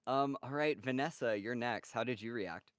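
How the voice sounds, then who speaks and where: A man moderating a voice cast Q&A over a video call, cheerful and quick to laugh. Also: medium pitch, no room sound. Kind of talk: casual upbeat moderating.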